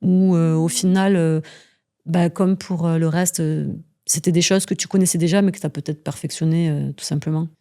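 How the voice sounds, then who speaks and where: A woman's voice: on the low side, steady tone, normal speed, dark tone. A woman hosting an interview, asking friendly questions with hesitant fillers. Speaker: interviewer host.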